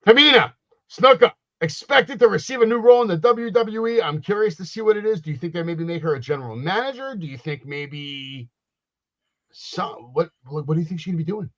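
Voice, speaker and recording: A man in his forties co-hosting a casual wrestling podcast, ranting loudly and slipping into mock wrestler catchphrases. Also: high-pitched, expressive, fairly quick, muffled recording. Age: 40s. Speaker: podcast co-host.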